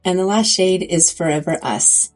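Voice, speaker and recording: A woman's voice: fairly low pitch, moderate pace, faint music behind. A congested woman chatting casually and enthusiastically in a makeup review video. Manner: chatty, enthusiastic about swatches. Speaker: beauty vlogger.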